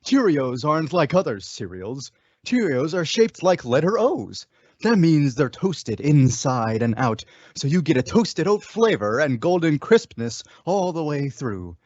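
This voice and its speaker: Young guy doing old radio ad announcer, upbeat, highish bouncy voice, muffled dry audio. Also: big pitch swings, unhurried, darkish tone, no room sound. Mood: upbeat, persuasive. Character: upbeat vintage radio cereal pitchman. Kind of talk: 1950s radio advertisement delivery. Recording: dull, dry lo-fi recording.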